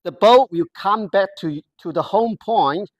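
A presenter gives a product walkthrough in careful, halting, non-native English, pausing often to find words. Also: mid-range voice, dry recording, highs cut off. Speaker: product demonstrator.

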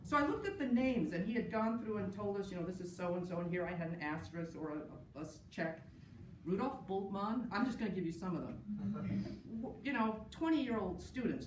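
A woman's voice: lowish voice, darkish tone. Woman speaking in a roomy, noisy space. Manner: wry, anecdotal, amused asides. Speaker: panel speaker, New Testament scholar. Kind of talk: personal tribute talk at an academic conference, part read from notes. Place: large indoor conference session room. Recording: roomy, distant mic, clear background noise.

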